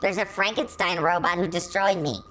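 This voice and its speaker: A woman performs a musical robot character hosting an online story reading. The voice sits low for a woman. Its delivery is theatrical and exclamatory, easily astonished and always reacting to the tale. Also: normal speed, a bit bright, slight background noise, natural voice, poor audio. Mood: offended. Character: excitable musical robot reading fan fiction about himself. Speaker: channel host.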